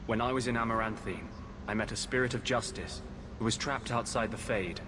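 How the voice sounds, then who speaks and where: A man playing a tormented rebel mage in a video game, righteous and brooding, speaking with earnest, halting intensity. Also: fairly low pitch, noisy background, natural voice. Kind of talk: dramatic video game dialogue acting.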